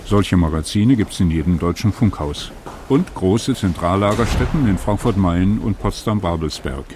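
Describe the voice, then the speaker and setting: A man narrating a radio documentary in measured, clipped fragmentary phrases. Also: deep voice, lively intonation, background noise. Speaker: radio feature author and narrator. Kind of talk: scripted documentary narration with location conversation.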